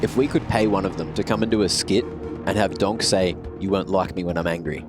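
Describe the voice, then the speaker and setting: A man's voice: sits low, brisk, over music. A man calling esports play-by-play who turns playful when he riffs on jokes. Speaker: esports commentator.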